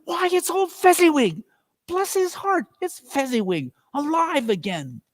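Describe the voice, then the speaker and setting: A man's voice: very high voice, big pitch swings, a little slow, no echo, pitched above the performer's own voice, exaggerated voice. A man playing a nervous, querulous old miser who pleads and exclaims in a dramatic stage reading. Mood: overjoyed.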